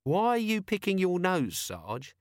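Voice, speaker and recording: Storybook corporal, performed by a male reader: scruffy, artless, doubting, colloquial, voice lifted above natural pitch. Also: huge pitch swings, measured pace, no room sound, clean studio sound, full character voice. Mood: doubtful, puzzled. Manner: colloquial, naive, questioning. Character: scruffy, artless, sceptical low-ranking male police corporal.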